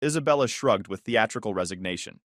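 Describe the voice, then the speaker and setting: A man tells his own story with wry sarcasm. His pitch rises and falls a lot as he speaks. The recording is close and dry, with no room sound. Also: animated, fast talker, no echo. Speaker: narrator. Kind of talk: first-person story narration.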